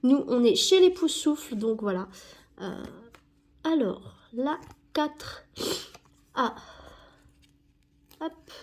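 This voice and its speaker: A woman's voice: high-pitched, sing-song, drawn-out words, pauses often. Chatty young woman with a cold. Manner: rambling, self-correcting, many fillers. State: has a cold. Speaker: YouTuber opening sticker packs. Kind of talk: casual chat while sticking stickers.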